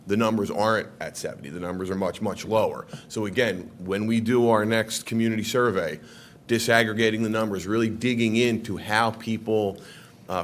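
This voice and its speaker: A man with a fairly low voice presents to a city council in an earnest way. He pushes certain points with sudden lifts in his voice and often restarts his sentences. A faint rumble sits under his words. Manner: earnest, with emphatic rises and frequent restarts. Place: council chamber.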